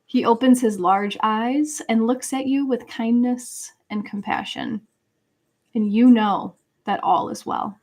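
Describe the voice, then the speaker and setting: A woman with a fairly high voice hosts an intimate livestream card reading. She talks in a casual, earnest way, reading messages aloud and offering spiritual encouragement as a guide would. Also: fairly even intonation, slow pace, darkish tone. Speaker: live tarot reader and host.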